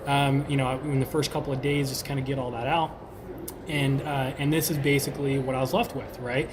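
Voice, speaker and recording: A man's voice: medium pitch, flat delivery, brisk, background noise. A man in his twenties from Sweden, a podcast guest talking casually and enthusiastically. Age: 20s. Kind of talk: casual interview chat.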